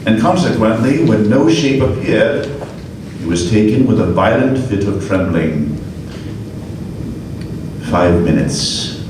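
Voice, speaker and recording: A man's voice: on the low side, animated. Man narrating in an echoey hall. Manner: measured, vivid storytelling. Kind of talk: dramatic narration in a live radio play. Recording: echoey hall, far mic, noise.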